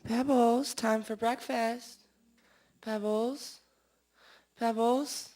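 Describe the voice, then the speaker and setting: Girl playing melodramatic fretful lady in a comedy radio play, plaintive, proper, slightly high pitched. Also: fairly even intonation, drawn-out words, dark tone, soft background music. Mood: calling out. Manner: theatrical, plaintive, old-fashioned politeness. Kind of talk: comic radio drama acting.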